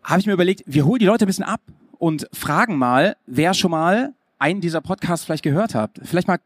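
A man's voice: high-pitched, lively intonation, fast talker, dry recording, clean studio sound. A man co-hosting a live podcast on stage, upbeat and chatty, asking questions and joking with the crowd. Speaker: podcast host.

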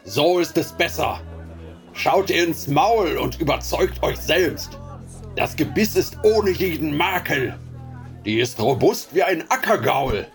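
An actor playing a cruel slave-trading ship captain in an audio drama, sneering and harsh. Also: male voice, fairly high pitch, expressive, takes it slowly, soft background music. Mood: mocking, harsh. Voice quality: gravelly, sneering.

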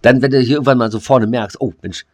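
A man's voice: mid-range voice, fast talker, no room sound. A man co-hosting a podcast over a video call, joking bluntly and crudely. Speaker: podcast co-host.

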